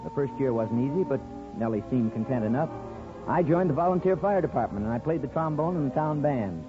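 A man's voice: mid-range voice, lively intonation, a little fast, lightly acted. An actor playing an earnest, folksy small-town barber and newlywed husband who also narrates his story in a 1950s radio drama. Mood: fond, nostalgic. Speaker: actor and first-person narrator. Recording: dull 1950s radio recording with music.

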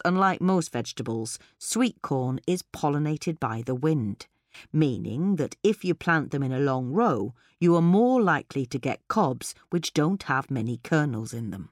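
A woman's voice: low-pitched, no room sound, studio quality. A woman presenting a gardening guide as scripted instructional narration.

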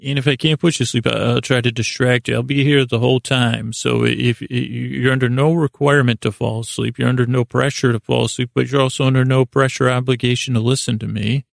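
A man with a creaky, gravelly voice hosting a sleep podcast, delivering a meandering, hesitant, self-deprecating bedtime monologue full of trailing asides. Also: medium pitch, level tone, brisk, few pauses, no echo, studio quality. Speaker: podcast host. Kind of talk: rambling bedtime monologue meant to lull listeners to sleep.

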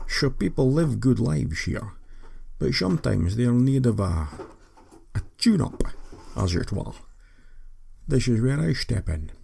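A man doing a gravelly Scottish-accented sin eater. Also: medium pitch, big pitch swings, a little slow, pauses often, warm tone, voice pushed up, exaggerated voice. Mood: smug. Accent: Scottish, a Sean Connery-style impression. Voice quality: gravelly, husky. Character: gravelly middle-aged male village sin eater, smug. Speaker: interviewee. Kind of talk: comic audio fiction interview.

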